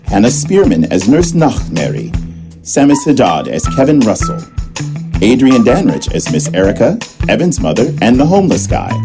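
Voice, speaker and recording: A man playing the narrator of a comedy audio drama, reading scripted narration and credits. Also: medium pitch. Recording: music bed behind voice.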